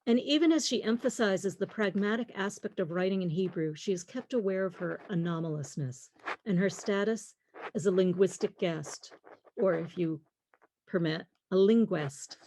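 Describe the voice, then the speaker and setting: A middle-aged woman lecturing on literature, reading in a measured, deliberate way with pauses. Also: medium pitch, darkish tone, a little hiss or hum, low-quality recording. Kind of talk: prepared lecture, reading quotes and poems aloud.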